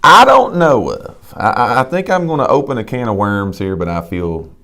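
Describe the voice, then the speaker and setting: A man's voice: medium pitch, moderate pace. A man, co-hosting a casual live talk show with folksy, emphatic delivery. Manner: animated, folksy preacher-like emphasis. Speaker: co-host.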